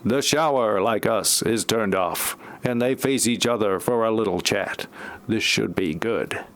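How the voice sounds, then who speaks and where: A man's voice: on the low side, unhurried, fairly bright tone, dry recording. A man narrating a parody audio play from a script, with wry asides.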